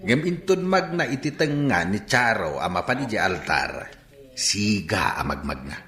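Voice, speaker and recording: A man's voice: lowish voice, big pitch swings, normal speed, bright, forceful tone, echoey room, soft background music, full character voice. An actor with an Ilocano Filipino accent playing a grumbling, suspicious middle-aged father in a radio drama.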